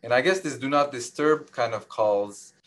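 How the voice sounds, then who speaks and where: A man's voice: medium pitch, moderate pace, a bit bright. A man who grew up in Lebanon with Armenian as his first language, speaking modestly over a video call from an office, with many restarts and fillers. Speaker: Nobel laureate guest. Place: office with large window. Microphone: video call webcam.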